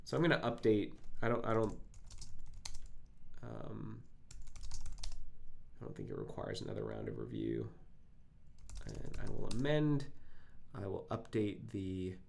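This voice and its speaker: Male coding streamer, gravelly and low, phlegmy throat, halting think-aloud delivery. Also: huge pitch swings, slight background noise. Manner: halting, filler-heavy, self-correcting. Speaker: live coding stream host.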